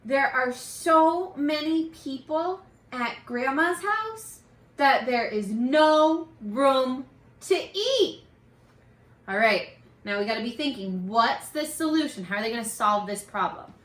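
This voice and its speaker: Animated, bright-voiced woman reading to children. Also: high voice, slow. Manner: expressive, exaggerated, encouraging toward young listeners. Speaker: teacher reading a picture book aloud. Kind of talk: animated children's read-aloud with questions to listeners. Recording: echoey, roomy sound.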